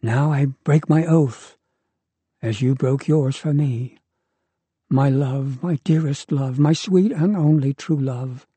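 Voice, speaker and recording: A man voicing a devoted young woman, reading her lines in an earnest, measured way. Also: medium pitch, mellow, slightly rough, no echo.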